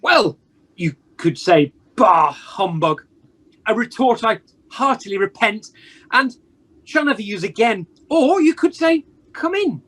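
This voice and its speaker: An actor playing a reformed old miser uncle in an amateur radio play, speaking in a theatrical, deliberate way over a home video call. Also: mid-range voice, expressive, a bit bright, slightly rough. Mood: grandly earnest.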